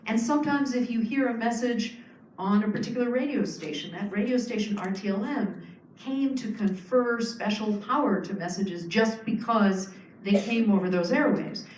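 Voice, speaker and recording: A woman's voice: mid-range voice, normal speed, darkish tone, far from the mic, a little hiss or hum. A woman giving a public lecture, explaining earnestly with frequent fillers and restarts. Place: lecture hall. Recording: echoey hall, speaker off-mic.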